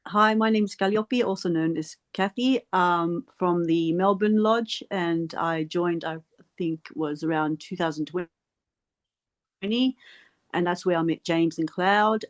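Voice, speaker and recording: A woman introducing herself chattily, correcting herself as she goes. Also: lowish voice. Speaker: debate panelist. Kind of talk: casual self-introduction.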